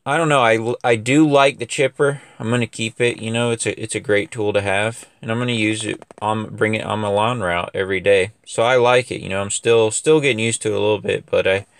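A man's voice: lowish voice, steady tone, brisk, few pauses, clear, resonant tone, no room sound, studio quality. A young man running a lawn care business, chatting casually in a loose, rambling way full of fillers and restarts. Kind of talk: casual equipment review vlog. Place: outdoors in a wooded yard.

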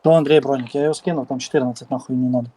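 A man's voice: mid-range voice, fairly even intonation, rapid-fire, warm tone. A man arguing in game voice chat, agitated and swearing a lot.

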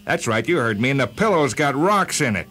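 A man's voice: mid-range voice, very animated, fairly quick, pushed and bright, soft background music. A man playing a cranky middle-aged store owner who grumbles and complains irritably in a radio-style story. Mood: irritable complaining. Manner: irritable, grumbling, hesitant starts.

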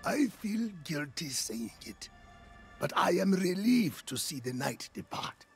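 Gravelly, grave fantasy ally. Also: male voice, high voice, big pitch swings, soft background music, full character voice. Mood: relieved, foreboding. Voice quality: gravelly, raspy. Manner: deliberate, ominous, formal. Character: grave, worried, wary ally who fears spreading corruption. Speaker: game character. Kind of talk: fantasy game dialogue.

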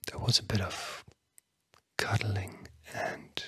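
A tired-sounding ASMR host whispering a bedtime talk in a hushed, soothing, breathy way with long pauses. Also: sits low, flat delivery.

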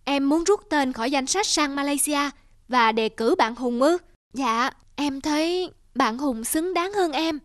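Woman voicing a high-pitched, earnest schoolboy. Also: measured pace, no room sound, studio quality. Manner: polite, hesitant. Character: earnest, self-doubting schoolboy pupil. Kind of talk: cartoon dubbing.

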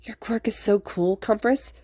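Lady doing a playful kid boy in a story, high voice, excitable, bit dramatic. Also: a little slow, no room sound, clean studio sound, lightly acted. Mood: innocent, admiring. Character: childlike, playful, theatrical hero-student boy among villains.

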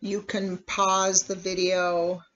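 A meditation guide speaking in a measured, instructional way with long pauses between phrases. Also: medium pitch, monotone, fairly bright tone. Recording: dull, lo-fi recording.

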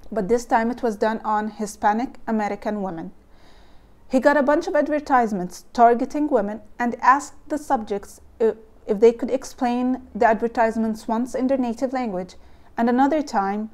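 Young egyptian woman, arabic accent, chatting to camera on a lapel mic at home, slightly high mellow voice, lots of ums. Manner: nervous, thoughtful, with frequent ums and likes. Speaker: vlogger. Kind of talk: casual explainer vlog. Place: home room with bookshelves, indoors. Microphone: lapel mic, camera close.

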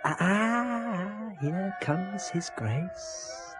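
An actor playing a sly, worldly, gossiping medieval monk in a radio drama. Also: male voice, high-pitched, expressive, extremely slow, over loud music, exaggerated voice. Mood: gossipy. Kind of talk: 1970s radio drama acting.

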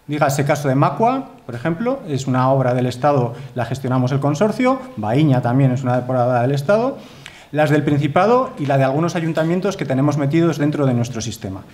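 A man's voice: a little high, sing-song, a little fast, darkish tone. A man in his thirties with a Spanish accent, giving a formal conference talk from a lectern in a lecture hall, earnest and emphatic, often adding tag questions. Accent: Spanish from Spain. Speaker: conference speaker. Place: wood-panelled lecture hall.

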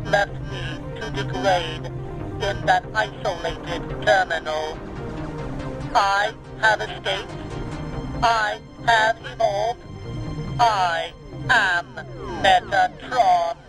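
A man's voice: sits high, very slow, pauses often. A man playing a grandiose, menacing machine villain who speaks in a pompous, declamatory way in an audio drama. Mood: triumphant. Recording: loud music behind the voice.

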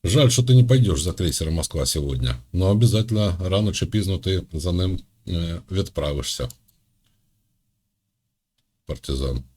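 Low-voiced sarcastic man. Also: normal speed. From Russia. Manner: sarcastic, needling, deadpan. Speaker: host. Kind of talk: mocking video-call chat.

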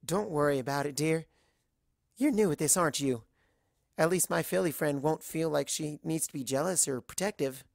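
A narrator voicing a friendly, chatty waitress pony who gently reassures and encourages in a read-aloud story. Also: medium pitch, moderate pace, vocal fry, no room sound, studio quality, close to an everyday voice.

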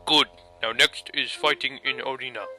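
Bossy fantasy fight coach male actor, mid-pitched, curt and commanding, music clearly behind. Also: fairly even intonation, normal speed, close to an everyday voice. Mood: brisk, bossy.

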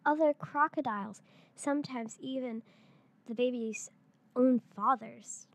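A girl of about eight or nine, a third-grade pupil, reading a picture book aloud in a halting way. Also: fairly high pitch. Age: child, around eight or nine.

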